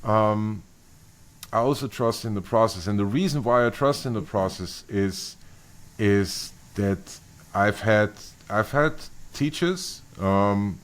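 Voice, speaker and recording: A middle-aged man from Austria, a bassist being interviewed, speaking thoughtfully with many restarts and fillers. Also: slow. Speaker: bassist guest. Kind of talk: interview conversation.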